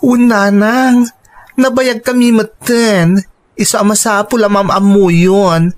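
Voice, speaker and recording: A woman's voice: medium pitch, steady tone, takes it slowly, a little hiss or hum, lightly acted. A woman with an Ilocano accent acting an earnest, persuasive young working woman in a radio drama. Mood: respectful, pleading.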